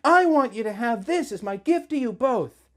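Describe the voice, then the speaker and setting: Man putting on a high, solemn young princess. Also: animated, moderate pace. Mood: solemn, reassuring. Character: gracious, earnest young princess of a fantasy kingdom.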